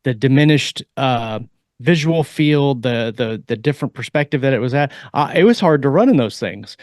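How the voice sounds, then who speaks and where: A man speaking as a podcast co-host in a casual discussion, with halting, searching phrasing. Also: medium pitch, expressive, no echo, studio quality. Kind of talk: casual discussion of military technology.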